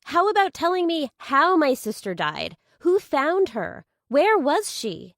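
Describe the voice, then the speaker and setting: A narrator acts out a blunt, sarcastic woman in distress over her sister. She fires challenging, incredulous questions, her pitch lifted, in a dry audiobook recording. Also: very animated, unhurried, dry recording, exaggerated voice. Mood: incredulous, demanding.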